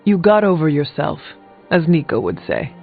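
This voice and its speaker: A steadfast video game warrior with a fairly high male voice. He speaks formally and earnestly, as a loyal supporter. The audio is dulled, with music faintly behind. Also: sing-song, normal speed, creaky, phone-quality sound, heavily acted. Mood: earnest, reassuring. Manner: formal, earnest, plain-spoken. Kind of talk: video game character dialogue.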